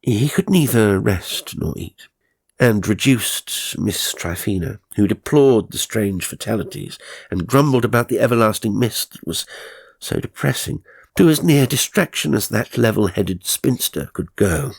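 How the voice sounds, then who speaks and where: A man narrating a horror story in a measured, ominous, theatrical way, with a gravelly, husky voice. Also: on the low side, animated, dry recording. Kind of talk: dramatic scripted horror narration. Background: faint music.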